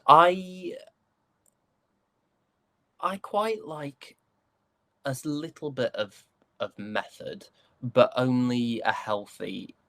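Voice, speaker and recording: A man's voice: mid-range voice, drawn-out words, long pauses, pushed and bright, slight background noise. A man with a British accent chatting casually as an interview guest, hesitant and jokingly self-deprecating. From United Kingdom.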